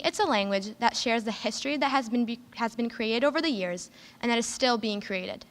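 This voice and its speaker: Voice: medium pitch, pushed and bright. An early-teen student whose first language is Lebanese Arabic, giving an earnest, prepared speech at school with a few careful restarts. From United States. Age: early teens. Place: school hall. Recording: long hall reverb.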